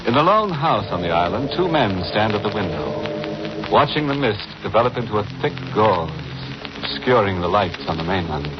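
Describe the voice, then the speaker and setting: An actor playing a ghoulish, punning horror show host in theatrical, drawn-out 1940s radio style. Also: male voice, lowish voice, big pitch swings, loud music behind the voice. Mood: ominous narration. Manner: theatrical, drawn-out, darkly jocular delivery. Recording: muffled, noisy old radio recording.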